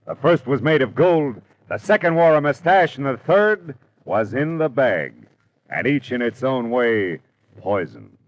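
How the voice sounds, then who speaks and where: An American actor playing a hardboiled, world-weary private detective in 1940s radio drama style, clipped and colloquial. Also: male voice, mid-range voice, big pitch swings, unhurried. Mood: dramatic. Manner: clipped, colloquial tough-guy delivery. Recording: dull old radio recording, music behind.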